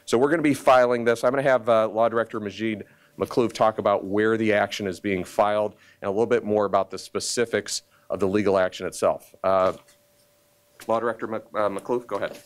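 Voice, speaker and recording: A man speaking as a county official at a press conference, deliberate and emphatic, pausing often in a formal statement. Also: fairly low pitch, fairly even intonation.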